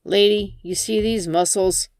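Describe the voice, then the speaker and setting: A woman's voice: medium pitch, fairly even intonation, a little slow, darkish tone, no echo, studio quality, lightly acted. A woman narrator voicing a casual male driver who talks in a reassuring way, in an audiobook. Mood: cocky, casual. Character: easygoing male driver, cocky, turning nervous.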